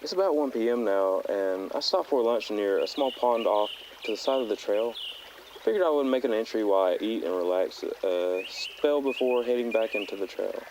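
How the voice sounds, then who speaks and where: Guy acting as a young hiker doing an audio log, lowish voice, halting n earnest, birds and outdoor noise behind. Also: lively intonation. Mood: relaxed, reflective. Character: thoughtful teenage male hiker recording a personal audio diary. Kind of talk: found-footage audio log monologue.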